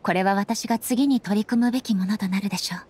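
An actress playing a solemn, composed female ruler in an anime game, speaking formally and earnestly with measured politeness. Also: medium pitch, darkish tone, a little hiss or hum, natural voice. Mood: solemn, reflective. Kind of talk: scripted anime game dialogue.

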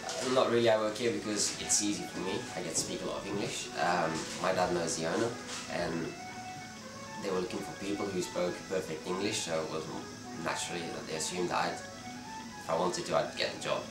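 Deep-voiced young man in a bar. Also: brisk. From Belgium. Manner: relaxed, hesitant, frequent restarts. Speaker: interviewee. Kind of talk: casual interview answers. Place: dim bar with red walls, indoors. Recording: roomy, distant sound with loud music behind.

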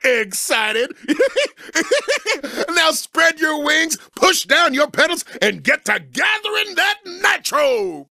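A zany, over-excited rooster for a cartoon racing game. The voice leaps all over the place, bright and forceful with a gravelly creak. The delivery is clamorous and giddy. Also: male voice, very high-pitched, slow pace, no room sound, clean studio sound, full character voice. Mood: giddy excitement. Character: manic, excitable goofy cartoon rooster co-host, male. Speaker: race show co-host.

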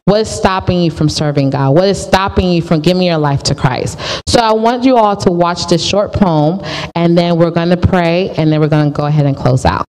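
A woman's voice: on the low side, fairly quick, darkish tone, big-room sound, low-quality recording. A woman teaching a pre-teen church class from a stage with a handheld mic, earnest and often repeating herself.

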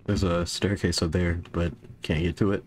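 A young man with a deep, low voice plays through a horror game. He reads in-game notes aloud with casual stumbles and self-corrections, and his voice is worn and giving out. A faint hiss runs under the recording. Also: flat delivery, moderate pace, a little mellow. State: losing his voice. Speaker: gaming YouTuber.

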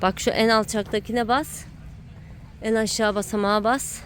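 Casting: woman with a fairly high voice, encouraging and teasing, chatting to a child at play; outdoor home video with some background noise. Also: fairly even intonation, moderate pace. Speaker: adult filming and cheering on a child at play. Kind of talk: casual playful running commentary. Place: outdoor playground.

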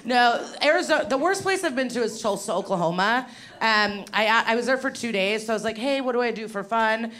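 A woman's voice: a little high, animated, fairly quick. A woman in her thirties doing a stand-up comedy set on a handheld mic, rambling and chatty with asides to the audience. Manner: rambling, conversational, crowd-work asides. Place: small dim venue with a live audience. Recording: loud audience laughter. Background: audience laughter.

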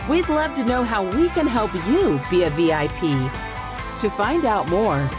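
Woman announcer reading the show's outro slowly, her pitch moving a lot. Clear music plays underneath her voice. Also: animated. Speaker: podcast announcer.

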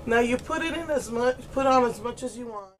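A woman's voice: on the high side, a little fast. A middle-aged woman, still congested and getting over laryngitis, chatting her way through a makeup tutorial with many ums, close to the camera in a small room. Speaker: makeup vlogger. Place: indoors, small room against a pale blue wall. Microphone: camera close to the face.

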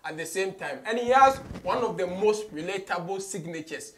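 Male Ghanaian interviewer, thirties, slightly high pitch, animated delivery, code-switching conversational style. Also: moderate pace, a little hiss or hum. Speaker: show host. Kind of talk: conversational radio interview, mixing English and Twi. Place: small office studio, indoors.

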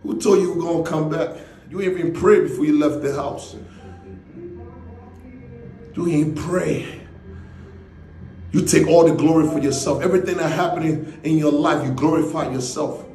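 A man's voice: a little high, fairly even intonation, moderate pace, long pauses, warm tone, soft background music. Male motivational speaker, fiery, preachy delivery, reverberant room sound. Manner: fiery, preachy, hammering rhetorical questions. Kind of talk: shouted motivational speech.

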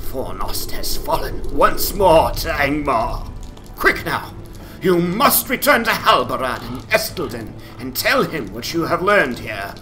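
Guy doing a solemn fantasy game ranger, dramatic and formal, swoops around in pitch, music under it. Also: bright, forceful tone, full character voice. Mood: urgent. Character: grave, injured male ranger giving orders in fantasy game.

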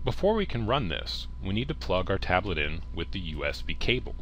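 Man narrating a tutorial with very lively pitch. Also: brisk, a little hiss or hum. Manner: instructional, plain-spoken, addresses viewer directly. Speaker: tech tutorial presenter. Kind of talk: step-by-step software tutorial narration.

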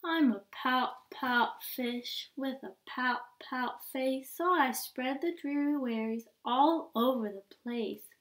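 A woman voicing a glum, gloomy male fish in a picture book, in a slow mopey sing-song with deep drawn-out blubs. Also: fairly bright tone. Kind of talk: storybook reading.

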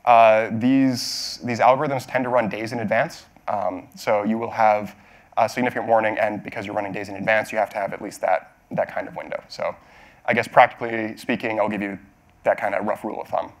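Man in his thirties, lowish voice, technical talk Q&A, explanatory, halting, self-correcting. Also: fairly even intonation. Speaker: technical speaker at a tech talk. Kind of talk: conference talk question-and-answer, explanatory. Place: auditorium stage at a podium.

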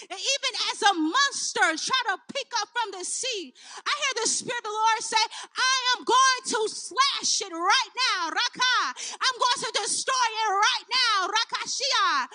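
A woman's voice: extremely high pitch, pushed and bright, dry recording. Woman praying fervently in tongues. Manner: impassioned, crying out, voice breaking with emotion. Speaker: prayer leader. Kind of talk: fervent spoken prayer with speaking in tongues.

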